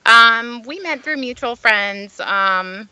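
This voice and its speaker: A woman's voice: a little high, expressive, slow pace, sharp tone, clean tone, no echo. A woman speaking casually and a little hesitantly as a client in a phone consultation. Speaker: client of a psychic. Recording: dull, lo-fi phone call.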